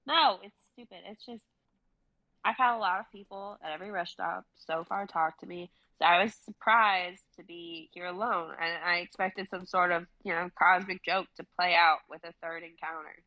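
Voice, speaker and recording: A woman's voice: fairly low pitch, fairly even intonation, normal speed, no room sound, lo-fi sound, close to an everyday voice. A woman reading the part of an anxious, searching young woman traveler in a stage play, hesitant and earnest. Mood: flustered, apologetic. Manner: hesitant, earnest, self-dismissing.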